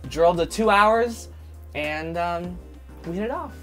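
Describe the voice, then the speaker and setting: A man's voice: high voice, slow, pushed and bright, soft background music. A young man chatting casually with his wife in a couple's question-and-answer video, easygoing and rambling with lots of filler words. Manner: easygoing, chatty, full of "like" and "you know". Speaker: co-host of a couple's channel. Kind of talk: casual Q&A chat.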